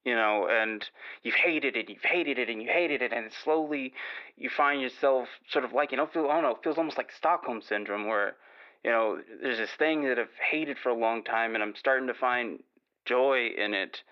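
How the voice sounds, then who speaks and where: A man playing a nervous, self-doubting baker calling a radio show in an improvised audio drama, halting, rambling and apologetic, on a slightly muffled line. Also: medium pitch, smooth, close to an everyday voice. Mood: conflicted, troubled. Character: nervous, self-doubting male baker who longs to be an artist.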